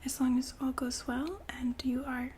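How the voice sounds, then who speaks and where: A woman whispering softly and politely in an ASMR customer-service roleplay, breathy and gently hesitant. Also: sits high, flat delivery, a little hiss or hum. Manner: soft-spoken, polite, unhurried, gently hesitant. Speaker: ASMR roleplay performer as a shop consultant.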